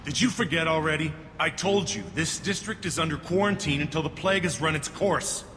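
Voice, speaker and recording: An actor playing a gruff, cynical alien checkpoint guard in a game, talking in a dry, curt and dismissive way. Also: male voice, fairly high pitch, a little fast. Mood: matter-of-fact. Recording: reverberant, with loud background noise.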